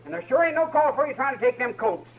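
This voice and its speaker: A very high-pitched young frontier son, quick-tempered and protective of his land. He talks in a rustic drawl in the manner of a 1950s radio western. The old recording is clearly noisy. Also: expressive, muffled recording. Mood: defiant, angry. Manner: rustic drawl, folksy grammar. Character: hot-headed young frontier son defending his ranch.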